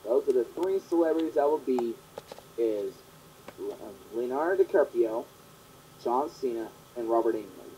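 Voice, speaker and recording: A young man hosting his own fan question show, talking in a rambling, earnest way that repeats itself, his voice hoarse and partly gone from a long cough. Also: medium pitch, lively intonation, normal speed, mellow, a little hiss or hum. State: sore throat, partly lost voice from a long cough. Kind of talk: casual monologue answering fan questions. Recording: dull lo-fi home recording.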